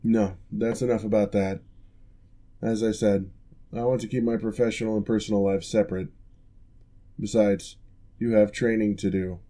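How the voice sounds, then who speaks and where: A man voicing a calm, formal male orc who is a former general turned physical therapist, speaking in measured, reassuring ASMR roleplay. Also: lowish voice, natural voice.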